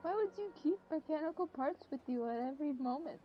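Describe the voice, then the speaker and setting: High-voiced young woman chatting over gameplay. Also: expressive, brisk, highs cut off. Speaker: gamer playing and commenting. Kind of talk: casual chat over gameplay. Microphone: voice call with a friend during gameplay. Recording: low-quality mic, game music behind.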